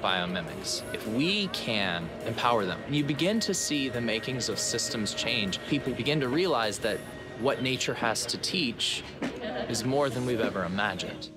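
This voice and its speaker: A man's voice: mid-range voice, animated, normal speed. A man in his thirties, a foundation director, speaking earnestly and steadily in an interview inside an event tent. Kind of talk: documentary interview. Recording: interview under loud music. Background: loud music.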